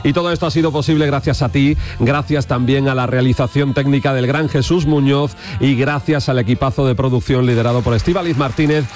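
A man's voice: medium pitch, steady tone, a little fast, darkish tone. Chatty radio host, man. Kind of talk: radio show chat. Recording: radio with music bed.

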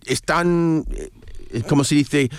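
A man's voice: on the high side, slow pace, dry recording, studio quality. A native English-speaking man, a guest on a radio show, chatting in a casual way, hesitant and searching for words but enthusiastic.

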